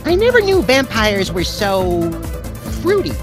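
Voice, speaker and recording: A manic little cartoon rabbit thing with an American accent. The voice is high, brash and very excitable, swinging around sarcastically over loud music. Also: male voice, a little slow, exaggerated voice. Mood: indignant, snide. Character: manic, bratty, bloodthirsty little male rabbit creature. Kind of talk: animated comedy game voice acting. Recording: loud music and rain behind.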